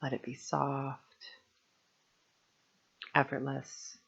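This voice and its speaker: A woman guiding a meditation as a teacher, speaking gently and soothingly in measured phrases with long pauses. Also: extremely low pitch, completely flat delivery, vocal fry, slightly rough, dull audio. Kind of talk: guided meditation narration.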